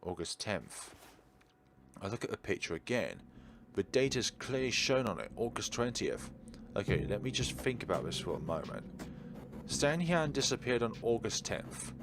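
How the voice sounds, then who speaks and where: A man's voice: on the low side, very animated, normal speed, background noise. A man playing a visual novel, reading its narration and dialogue aloud and musing casually as he goes. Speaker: let's player. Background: game background music.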